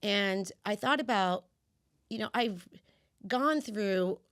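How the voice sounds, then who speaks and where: A woman's voice: mid-range voice, slow, dry recording, studio quality. A woman coach raised in the US by Cuban parents, with Spanish as her first language, telling her story in a casual interview and acting out her past reactions. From United States, of Cuban parents. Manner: animated storyteller, acts out her own past voice. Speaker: guest, women's empowerment coach.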